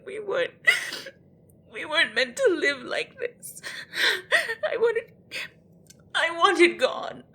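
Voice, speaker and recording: A woman playing a frightened, desperate gryphon in an audio roleplay, pleading and deferential, with halting, stammering delivery. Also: very high voice, sing-song, bright, forceful tone, heavily acted. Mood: anguished pleading.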